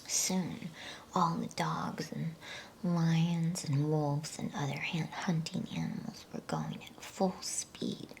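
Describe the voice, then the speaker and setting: Woman, whispered storytelling, creaky breathy fry, low flat pitch, soft and soothing, ASMR bedtime reading. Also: rough recording. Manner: soft, slow, soothing, with pauses between phrases.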